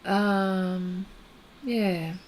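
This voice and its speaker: A woman's voice: medium pitch, fairly even intonation, extremely slow, a little mellow, a little hiss or hum. A woman hosting an art livestream, chatting casually and rambling as she works, often laughing. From Australia. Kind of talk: casual chat while demonstrating pastel art.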